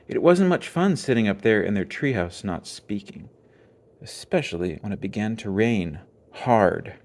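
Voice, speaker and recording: A man narrating a children's storybook aloud with expressive storytelling. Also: on the low side, measured pace. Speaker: storybook reader.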